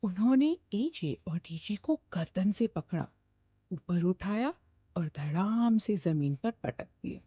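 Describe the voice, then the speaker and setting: A woman narrates a children's cartoon story slowly, with a lively rise and fall. Her voice is low and husky. The recording is dry and slightly dull. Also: no echo.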